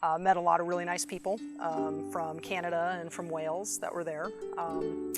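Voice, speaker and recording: A woman's voice: lowish voice, level tone, normal speed. A woman talking in a plain, conversational way as she recalls family history in an interview. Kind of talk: reminiscing interview. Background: music.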